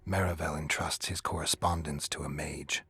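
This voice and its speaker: An actor playing a gruff, sardonic monster hunter in a video game, with a deadpan, gravelly delivery. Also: male voice, extremely low pitch, moderate pace, heavily acted. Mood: dry, wary. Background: music.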